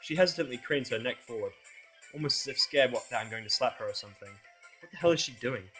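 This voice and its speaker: A man's voice: medium pitch, fast, a bit bright, soft background music. A man narrating a first-person fan fiction audio drama in a sardonic, exasperated way.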